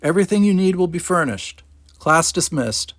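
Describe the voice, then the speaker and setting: A man voicing a brisk, commanding female academy instructor who gives firm, clipped orders. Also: high voice, expressive, fairly bright tone, no room sound, voice pushed up. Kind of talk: novel read-aloud character voice.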